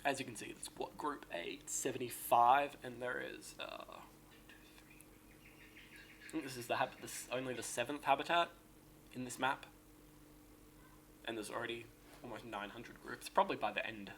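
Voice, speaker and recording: A man with a sore, raspy voice giving casual, rambling commentary on a game build and correcting himself as he goes. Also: medium pitch, moderate pace, long pauses, fairly bright tone, a little hiss or hum. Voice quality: raspy, gravelly. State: sore, hoarse voice. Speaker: game streamer.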